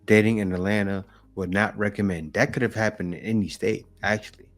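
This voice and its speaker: A man's voice: on the low side, steady tone, fairly quick, faint music behind. A man hosting a reaction video, giving blunt, opinionated commentary on a clip he is watching. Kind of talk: casual commentary.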